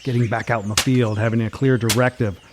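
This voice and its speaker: Guy playing seasoned spy, mid pitch, dry teasing, audio drama, noisy behind. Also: fairly even intonation, quick pace, lightly acted. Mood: reflective, wry. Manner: wry, teasing. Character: seasoned male spy, cocky, wry veteran field agent. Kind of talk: audio comedy drama acting.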